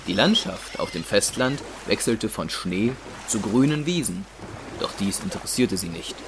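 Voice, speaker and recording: A man's voice: lowish voice, animated, normal speed, noisy background. A man reading scripted narration for an audio drama. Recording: clear water ambience behind voice.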